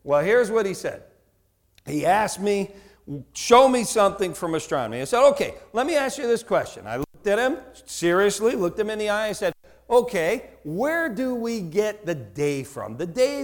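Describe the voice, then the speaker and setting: A man with a New Jersey accent preaching a sermon, telling a story and acting out both sides of a conversation with lively, repeated words. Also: high-pitched. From United States. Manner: animated, acts out dialogue, repeats words.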